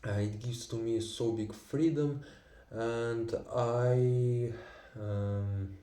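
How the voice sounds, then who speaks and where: Slow halting guy, tatar and russian native speaking english, low mellow voice, roomy sound a bit off mic. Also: steady tone, distant mic. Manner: halting, many fillers and restarts, non-native English. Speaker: vlogger. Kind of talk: unscripted personal monologue.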